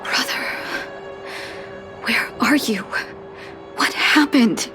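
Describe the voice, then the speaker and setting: A woman voicing a gentle, composed female doctor with quiet sorrow in video game story dialogue, soft and reflective. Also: fairly high pitch, lively intonation, very slow. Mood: searching, worried. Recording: background game music.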